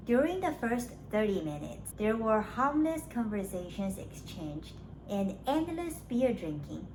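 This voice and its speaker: A woman's voice: medium pitch, very animated, a little slow, darkish tone. A woman narrating a scripted summary of a story, as a book reviewer does. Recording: clearly noisy background.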